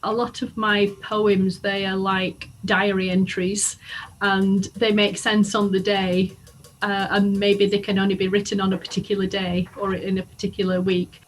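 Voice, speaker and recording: A woman poet living in England, talking quickly and casually with some hesitations. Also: mid-range voice, level tone, slight background noise, rough recording. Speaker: poet and arts administrator. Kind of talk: casual interview talk.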